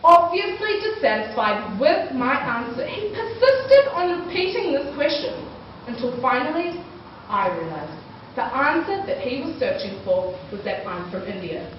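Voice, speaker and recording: Teen girl orator from New Zealand, high, lively, emphatic speech-contest delivery, heard from far off in a booming hall. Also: unhurried, slight background noise. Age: teenager. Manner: emphatic, rhetorical, rising and falling delivery. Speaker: student speaker. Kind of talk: prepared speech-contest oration. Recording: echoey hall, distant dull mic.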